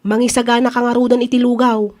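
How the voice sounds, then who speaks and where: Woman acting very high-voiced Ilocano food vendor. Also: flat delivery, quick pace, a little mellow, lightly acted. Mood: bossy. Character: brisk, defensive woman running a small food stall. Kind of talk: radio drama acting.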